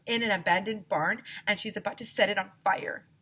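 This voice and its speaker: A woman voicing an excitable, suspicious third-grade boy from a storybook, insistent and conspiratorial. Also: medium pitch, a little fast, husky, pitched above the performer's own voice. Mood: alarmed, accusing.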